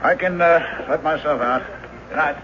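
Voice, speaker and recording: An actor playing a polite but firm police lieutenant with an official manner in a radio drama. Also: male voice, on the high side, moderate pace, natural voice. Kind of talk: old-time radio drama acting. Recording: muffled noisy radio drama recording.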